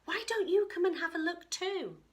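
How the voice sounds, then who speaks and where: A woman voicing an adventurous, curious little girl from a storybook, exclaiming and expressive. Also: extremely high pitch, moderate pace, pitched above the performer's own voice. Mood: eager.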